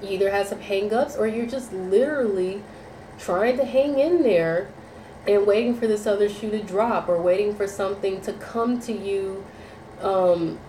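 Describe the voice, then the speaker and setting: A woman's voice: mid-range voice, moderate pace, mellow. Nasal woman in an echoey room. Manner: rambling, repeats herself, many fillers. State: nasal from a cold. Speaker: tarot reader. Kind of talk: unscripted tarot reading to viewers. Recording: echoey room, faint background noise.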